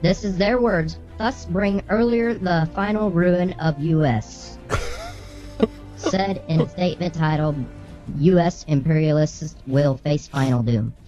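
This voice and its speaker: Woman, low-pitched, brash news co-host, casual comic banter, faint music behind. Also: expressive, takes it slowly, warm tone. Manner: brash, bossy, swearing. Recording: slightly muffled, music underneath.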